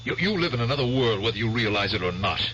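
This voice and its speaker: An actor playing a cautious, reasonable male war veteran in a 1970s radio drama, pleading in a quiet voice. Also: medium pitch, sing-song, fast. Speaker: former law student, war veteran. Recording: dull old radio broadcast with hiss.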